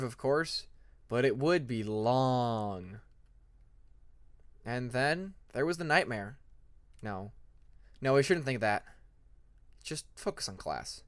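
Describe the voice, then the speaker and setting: A man's voice: on the high side, a bit bright, no room sound, studio quality. A man reading scripted story narration as an amateur audiobook narrator.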